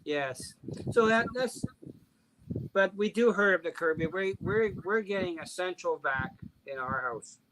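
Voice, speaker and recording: A man's voice: sits high, animated, measured pace, noisy background. An older man chatting as a guest, plain and matter-of-fact. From Canada. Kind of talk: casual chat.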